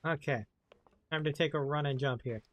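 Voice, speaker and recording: Very low-pitched woman, casual gaming commentary. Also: moderate pace. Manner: conversational, reacting aloud. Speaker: game streamer. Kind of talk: casual let's-play commentary.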